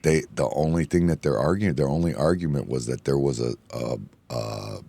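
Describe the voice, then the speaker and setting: A hoarse man hosting a casual bar-talk show, rambling and excitable, often repeating himself. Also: a bass voice, normal speed, dry recording. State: hoarse from yelling. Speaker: podcast host. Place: indoor room, bar setting.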